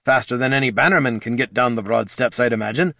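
A man voicing a gruff, blustering male dwarf warrior who hollers in folksy speech. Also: medium pitch, fast talker, pushed and bright, dry recording, studio quality. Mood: boisterous.

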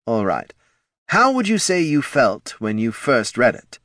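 A man's voice: mid-range voice, wildly swooping pitch, a little slow, a bit bright, no room sound, clean studio sound, voice pushed up. A man voicing an eager undead skull, a chirpy marketing executive in a fantasy audiobook, with upbeat, earnest corporate patter.

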